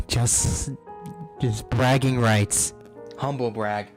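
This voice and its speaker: A woman livestreamer giving casual, reactive gameplay commentary, informal and often repeating her phrases. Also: very deep voice. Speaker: game livestreamer.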